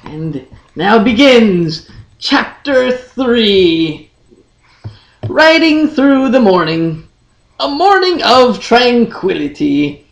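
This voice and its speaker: A woman narrating a fantasy story in a measured, dramatic storybook style with deliberate, drawn-out phrasing. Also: medium pitch, vocal fry. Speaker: audiobook reader, channel host. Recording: echoey, roomy sound.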